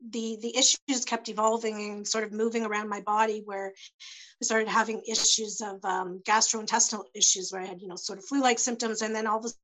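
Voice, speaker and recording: A woman speaking as a panel guest, giving halting personal testimony with many pauses and restarts as she searches for words, her voice tending to go hoarse. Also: medium pitch, flat delivery, fairly bright tone, rough recording. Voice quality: voice tends to go hoarse.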